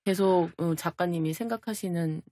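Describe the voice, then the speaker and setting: A woman's voice: fairly low pitch, moderate pace, warm tone, no room sound, studio quality. An effusive woman hosting a casual podcast chat, given to exclamations. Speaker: podcast host.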